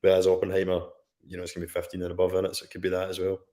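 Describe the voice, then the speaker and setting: A man from Scotland with a Scottish accent, a guest on a film podcast, telling a story in a relaxed conversational way with asides. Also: deep voice, racing. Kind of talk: casual chat on a live stream.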